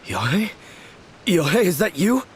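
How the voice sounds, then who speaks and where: Lively-pitched, timid young swordsman. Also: unhurried, slight background noise. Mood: dazed, shaken. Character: young, timid, weary swordsman freed from possession. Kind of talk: video game voice acting.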